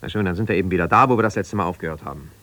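An actor playing an embittered, ambitious lawyer husband who talks in an argumentative, colloquial way in a naturalistic radio play. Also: male voice, low-pitched, very fast, sharp tone. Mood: exasperated. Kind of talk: naturalistic radio play, one side of a phone call.

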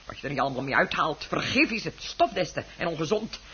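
A very husky, scornful middle-aged wife in a radio drama, nagging with cutting sarcasm. Her delivery swings widely in pitch, and the old recording is muffled. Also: lively intonation, fast, bright, forceful tone, exaggerated voice. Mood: scolding. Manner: sarcastic, cutting, mocking repetition. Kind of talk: Dutch radio play acting.

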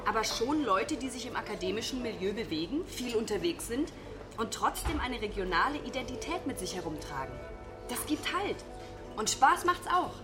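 A woman's voice: on the high side, sing-song, normal speed, music in the background. A woman playing an earnest, opinionated friend in a radio drama, holding forth articulately in conversation. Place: restaurant scene with sizzling and music behind.

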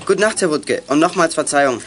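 A high, lively voice of a plucky teenage boy, played by a young male actor, leading a gang of young sleuths. He talks briskly and earnestly in an old, noisy children's audio drama. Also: close to an everyday voice. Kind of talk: 1980s German children's radio play acting.

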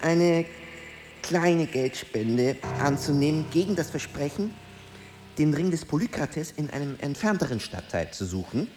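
A fastidious, brooding gentleman, speaks slowly and haltingly with lively pitch movement. His delivery is theatrical, with music clearly audible behind him. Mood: uneasy, agitated. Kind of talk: radio drama acting.

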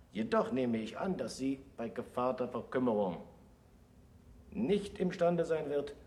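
An actor in a German radio play voicing a disgraced, self-accusing scholar who lectures in a solemn, deliberate, oratorical way. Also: male voice, mid-range voice, a little mellow, natural voice. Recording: noisy old radio play recording.

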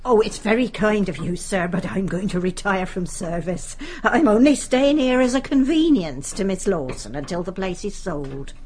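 A woman's voice: medium pitch, lively intonation, moderate pace, few pauses, a little mellow, close to an everyday voice. Lady playing older english maid, gossipy n respectful, really up and down voice, radio play, bit of noise behind. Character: chatty, deferential, long-serving older English maidservant. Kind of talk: radio drama acting.

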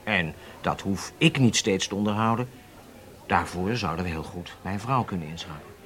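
A man's voice: low voice, moderate pace, a bit bright. An actor in a Dutch radio drama playing a self-assured, impatient undercover spy, speaking emphatically as if lecturing his handlers. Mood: assured, persuasive.

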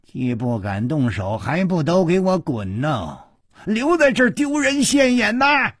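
A man voicing a male tavern boss in a martial arts story, loud, mockingly hearty and threatening. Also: high-pitched, big pitch swings, measured pace, breathy edge, dry recording, clean studio sound, exaggerated voice. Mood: scolding.

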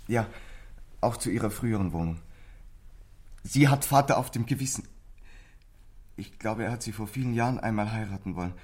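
A man acts a desperate, frightened teenage boy in a radio crime drama. The voice is breathy and rough, and he confesses haltingly, with bitter intensity. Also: fairly low pitch, a little mellow, lightly acted. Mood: tense, hushed.

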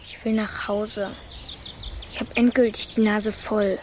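A woman's voice: medium pitch, flat delivery, a little slow, a little mellow, slight background noise, close to an everyday voice. An actress playing a timid but stubborn six-year-old girl, earnest and childlike, in a children's radio play. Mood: fed up.

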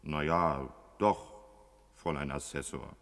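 Deep-voiced new court constable, echoing hall. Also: male voice, fairly even intonation, a little slow, fairly bright tone. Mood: casual, sheepish. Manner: colloquial, blunt. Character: naive chatty male junior court constable, new on the job. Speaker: actor. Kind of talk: German radio play acting. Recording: long reverberant hall.

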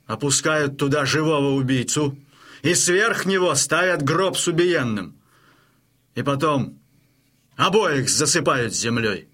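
An actor playing a stern but hearty Cossack chieftain in an audio drama, with a rough voice and a weighty, commanding manner. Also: male voice, fairly high pitch, a bit bright, heavily acted.

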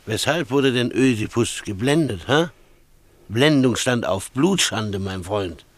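An actor playing a wealthy, irritable Greek shipowner in a German radio play, starting hesitantly and scoffing. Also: male voice, medium pitch, expressive, unhurried, dry recording, close to an everyday voice. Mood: mocking.

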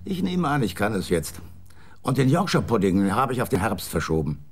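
An actor playing an aloof, food-loving middle-aged novelist in a German radio drama, pompous and deliberate. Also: male voice, on the low side, big pitch swings, husky, exaggerated voice. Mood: self-satisfied.

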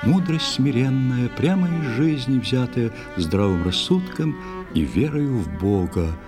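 A man narrating a poetic tale for an audio play in a lyrical, measured, expressive storytelling manner. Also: mid-range voice. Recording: music under the voice. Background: musical instruments playing.

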